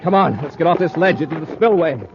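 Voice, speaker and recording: An actor playing a brisk, decisive space patrol commander in a 1950s radio adventure serial. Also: male voice, on the high side, close to an everyday voice. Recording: muffled, noisy old-time radio recording.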